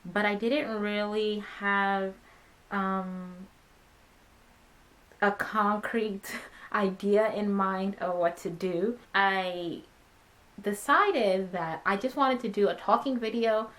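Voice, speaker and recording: A woman's voice: mid-range voice, fairly even intonation, slow pace, a bit bright. A woman in her twenties, born in Ghana and raised in America, answering viewer questions in a casual, chatty talking video with many ums and uhs. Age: 20s. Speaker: vlogger.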